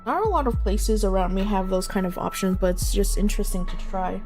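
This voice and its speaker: A woman streaming a story game, with an everyday mid-pitched voice. She chats casually and narrates prose in turns, with music clearly playing behind her. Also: fairly quick, darkish tone. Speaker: streamer reading a visual novel aloud. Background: background music.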